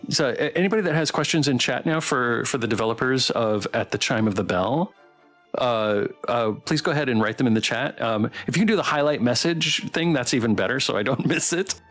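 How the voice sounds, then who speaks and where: A man's voice: medium pitch, brisk, fairly bright tone, soft background music. A man hosting a casual livestream developer Q&A, interviewing guests in an encouraging, affirming way.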